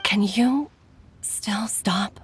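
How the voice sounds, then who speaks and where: An actress playing a weary mother and doctor in a video game, speaking earnestly and softly, with sighs. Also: medium pitch, extremely slow, a little hiss or hum. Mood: worried. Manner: earnest, soft, sighing. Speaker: game character.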